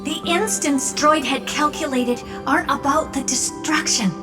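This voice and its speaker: A woman's voice: high-pitched, a little slow. An actress playing an earnest, hopeful young girl companion in an English game dub, heard distantly over music.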